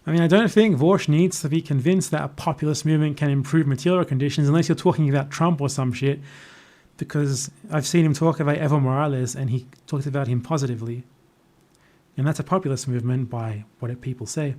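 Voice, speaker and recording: A man's voice: a little high, fast, a little mellow. A man with an Australian accent chatting casually and off the cuff as a livestreamer, in a blunt, opinionated, sardonic manner. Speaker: livestreamer answering chat questions. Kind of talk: casual off-the-cuff political chat.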